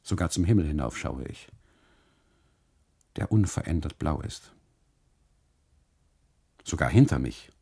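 A man's voice: extremely low pitch, expressive, unhurried, gravelly, pauses often, dark tone, husky. A man performing a first-person literary monologue for radio, with theatrical, emphatic phrasing.

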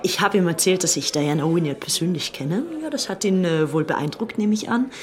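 A woman's voice: on the low side, a little fast, lightly acted. An actress playing an infatuated, spoiled young aristocratic woman, confiding in a gushing, chatty way as if from her diary. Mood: chatty pride. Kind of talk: acted diary-like confiding in a docudrama.

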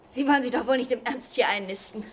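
A woman's voice: on the high side, slight background noise, natural voice. An actress in a German radio play playing a frightened but defiant woman standing up to an intruder. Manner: tense, firm, imploring.

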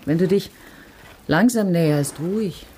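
A deep female voice of an actress as a bitter, resolute countrywoman in a radio play. She is blunt and terse, with a dark tone. Some noise sits in the background. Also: unhurried, lightly acted. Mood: matter-of-fact, grim. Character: bitter, blunt, tough countrywoman running a horse farm. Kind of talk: naturalistic radio drama dialogue.

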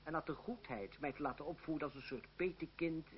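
An actor playing a proud, wry young suitor in a Dutch radio play, speaking in a measured, ironic way. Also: male voice, fairly high pitch, natural voice. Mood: resigned, frank. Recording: dull, hissy old radio recording.

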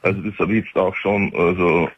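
A man's voice: low voice, steady tone, a little fast, husky. Rambling Austrian man on a phone line. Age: 40s. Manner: rambling, many fillers and restarts. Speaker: caller. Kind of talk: phone-in call.

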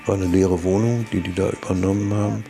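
Interviewee: older man, low mellow voice, matter-of-fact, hesitant phrasing. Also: fairly even intonation, fairly quick, soft background music. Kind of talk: interview recollection.

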